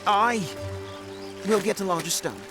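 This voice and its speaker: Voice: mid-range voice, measured pace, music in the background, lightly acted. An actor playing a proud, naive boy from a castle in a historical audio drama, indignant and sometimes hesitant. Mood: defensive.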